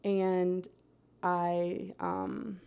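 A young adult woman from Central Florida telling her life story in a steady, soft-spoken way, pausing often with "um". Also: lowish voice, gravelly, clean tone. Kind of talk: personal testimony in a conversation.

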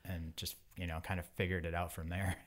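Podcast guest, male, forties, very deep pitch, loose and rambling conversational delivery. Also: flat delivery, fairly quick. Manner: informal, rambling, frequent "like". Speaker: podcast guest, bike wheel company owner. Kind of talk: casual interview chat.

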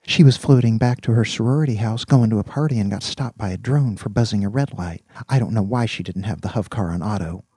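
Man voicing an eager, mellow young college guy. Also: fairly low pitch, brisk, few pauses, breathy edge, no echo, pitched above the performer's own voice. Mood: eager, chatty. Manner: casual, chatty slang with many likes. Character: eager, naive young male college student, secretly scheming. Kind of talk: audiobook character dialogue.

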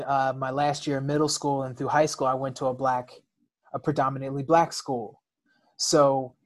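American man telling his personal story candidly. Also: mid-range voice. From United States. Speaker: interview guest.

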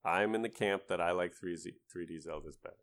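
A man on a podcast panel, chatting casually about video games with halting delivery and wry jokes. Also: low-pitched. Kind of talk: casual video game discussion.

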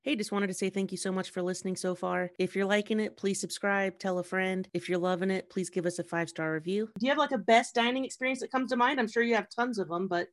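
A man with a naturally high voice hosting a casual podcast, upbeat and chatty as he tells stories and asks questions. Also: steady tone, fast talker, few pauses, a bit bright. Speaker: podcast host.

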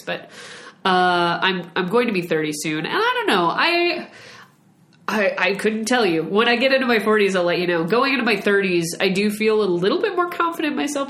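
A woman's voice: mid-range voice, fairly bright tone, reverberant. A woman in her late twenties co-hosting a casual podcast. She talks in a chatty way, often restarting and trailing off. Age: late 20s. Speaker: podcast co-host. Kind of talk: casual chat.

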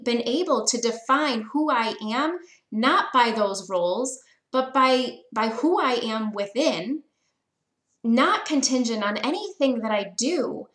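Woman in her 30s doing her own motivational podcast, kinda high voice, earnest, echoey room. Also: fairly bright tone. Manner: earnest, encouraging, repeats phrases for emphasis. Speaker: podcast host.

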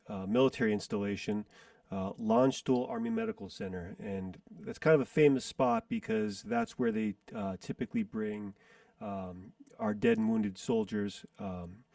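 A man in his forties, a musician guest in a casual interview, reminiscing in a rambling way with pauses to recall details. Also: fairly low pitch, creaky, warm tone, dry recording. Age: 40s. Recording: distant dry mic.

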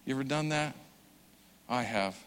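A man preaching a sermon with earnest conviction, swelling loud for emphasis and dropping soft and slow. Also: mid-range voice, background noise. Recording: long hall reverb.

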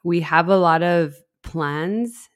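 A woman's voice: lowish voice, slow pace. A young woman from El Paso, co-hosting a podcast in earnest, friendly casual chat. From El Paso, Texas. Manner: friendly, earnest, repeats words. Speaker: podcast co-host.

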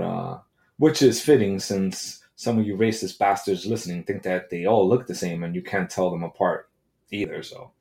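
A man with a fairly low voice rants in a loose, unscripted way. He swears constantly and mixes sarcasm with mock outrage, and his talk is full of fillers and restarts. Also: a little mellow. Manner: crude, sarcastic, profane. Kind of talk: casual solo rant.

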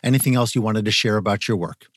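Low-voiced male podcast host. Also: quick pace, dry recording, clean studio sound. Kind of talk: interview podcast.

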